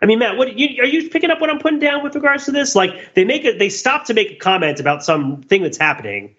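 A man talking casually as a podcast host, animated and rambling, often halting mid-thought. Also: extremely high pitch, lo-fi sound. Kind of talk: casual discussion of old television.

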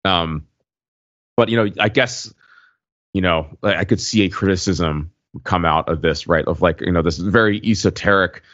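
A man co-hosting a podcast in casual conversation, halting and circling his point with lots of "like" and "you know". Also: sits low, a bit bright, no room sound. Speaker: podcast co-host. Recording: muffled, lo-fi voice recording.

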